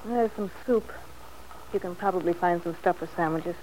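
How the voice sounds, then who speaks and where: An actress playing a bitter, anxious young wife in a vintage radio soap, with a brittle, ironic, weary manner. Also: female voice, fairly low pitch, moderate pace, a little mellow, natural voice. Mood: cool, subdued. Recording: faintly noisy old radio recording.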